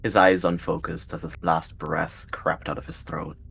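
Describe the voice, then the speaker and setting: A man's voice: deep voice, level tone, moderate pace, gravelly, a bit bright, husky. A man narrating a dramatic roleplay story as a storyteller.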